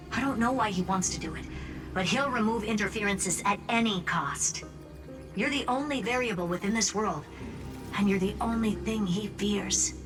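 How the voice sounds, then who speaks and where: A woman's voice: medium pitch, close to an everyday voice. An actress playing a confident, teasing woman genius in a video game, speaking with wry self-assurance. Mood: urgent, confiding. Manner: wry, self-assured, talking down playfully to the listener. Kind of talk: video game dialogue voice acting. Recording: loud music bed under voice. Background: background music.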